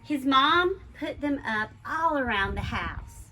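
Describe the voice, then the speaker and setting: A woman reads a children's story aloud in a bright, slightly high voice. Her delivery is expressive and emphatic, as a teacher would read to a class. Faint noise sits behind the voice. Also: slow pace. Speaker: elementary school teacher reading a picture book aloud to pupils.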